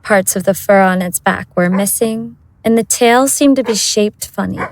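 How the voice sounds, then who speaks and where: A woman's voice: mid-range voice, moderate pace, slight background noise, close to an everyday voice. A woman narrating a scripted audio drama as its storyteller.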